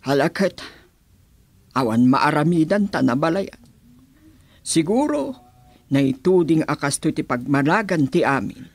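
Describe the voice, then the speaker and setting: A man's voice: a little high, sing-song, unhurried, long pauses, no echo, heavily acted. A man acting an anxious, pleading parent-in-law in an Ilocano radio drama.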